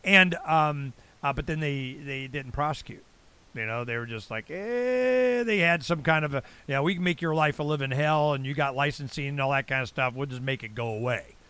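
A man's voice: fairly high pitch, normal speed, sharp tone, smooth. An American man around sixty hosting a casual interview, rambling and blunt with salty slang. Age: late 50s to early 60s. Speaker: talk show host.

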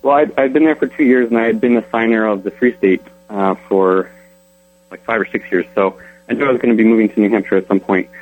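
A young man from Colorado answering interview questions in an easygoing, relaxed way. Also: on the low side, fast, faint music behind, low-quality recording. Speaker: interview guest.

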